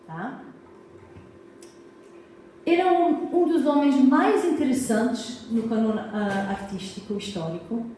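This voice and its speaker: A woman's voice: high-pitched, slow pace, pauses often, a little hiss or hum. An American woman from Massachusetts with a Portuguese accent, English her first language, giving a chatty public lecture as a historian and checking in with the audience. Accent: Portuguese (Azorean family), American English. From United States, Massachusetts. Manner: warm, chatty, asks the audience questions, frequent "tá?" check-ins. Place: hall of a cultural society. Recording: echoey hall, mic far off.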